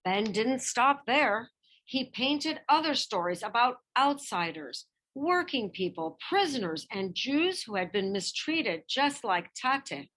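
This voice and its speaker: A woman reading a picture-book biography aloud as scripted narration, with expressive delivery and deliberate emphasis. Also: fairly high pitch, poor audio.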